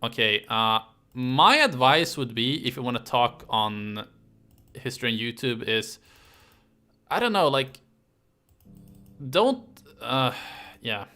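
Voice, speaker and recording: A man chats casually on a live stream, rambling and halting as he answers viewers. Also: mid-range voice, very animated, bright, forceful tone. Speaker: YouTube history creator hosting a live stream.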